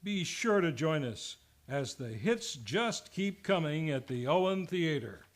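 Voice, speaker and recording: A male radio announcer with a slightly high voice and a slow pace. His scripted promo read is showy and upbeat. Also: animated. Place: radio studio, live performance.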